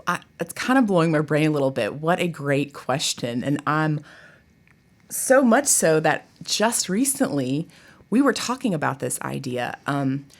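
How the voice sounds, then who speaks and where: A woman's voice: deep voice, lively intonation, measured pace. A woman talking casually and enthusiastically as an interview guest, in a chatty way. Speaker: guest, college theatre director.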